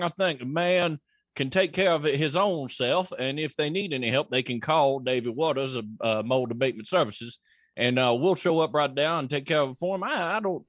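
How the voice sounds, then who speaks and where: Guy doing a stubborn contractor in a mock interview, highish voice, rambles, homespun, dry close sound. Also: dry recording, lightly acted. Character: folksy stubborn blue-collar mold abatement contractor, anti-government. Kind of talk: comic mock news interview.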